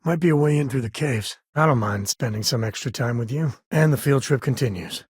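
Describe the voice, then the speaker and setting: An actor playing an easygoing, wry spacefaring cowboy and devoted father in a video game, with a husky voice and folksy, drawling, dryly sarcastic phrasing. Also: mid-range voice, fairly quick, no echo, studio quality. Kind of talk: video game character dialogue.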